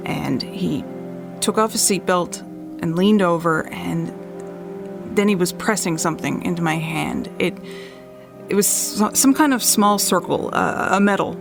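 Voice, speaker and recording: A shaken female witness , played by an actress, gives testimony in an audio drama. Her voice is lowish and very slow, hesitant and full of repeated words, with music underneath. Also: creaky. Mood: haunted, hesitant. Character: shaken female eyewitness recalling a night drive.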